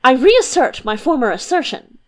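A woman voicing a quick, flighty male philosopher who lectures emphatically. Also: high voice, very animated, voice pushed up. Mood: lecturing.

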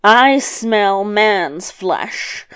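Casting: female reader as grumbling male devil for a fairy tale, unhurried delivery, quick to anger, exclamatory. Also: mid-range voice, lively intonation, a bit bright, clean tone, dry recording. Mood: suspicious. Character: gruff irritable male devil, sleepy and easily angered.

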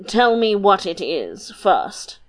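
A woman voicing an indulgent, patient king who speaks gently and measuredly. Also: medium pitch, very animated, slow. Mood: fond.

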